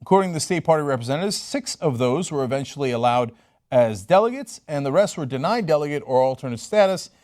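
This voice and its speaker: Guy reading news and explaining it, chatty, lively up-and-down voice. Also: fairly high pitch, fast talker. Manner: conversational, explains while reading. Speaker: commentator. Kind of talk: political commentary reading news.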